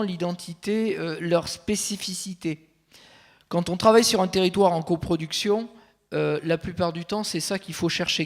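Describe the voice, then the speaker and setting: A man speaking as a consultant on a conference panel, measured and thinking aloud, with frequent fillers and restarts. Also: high voice.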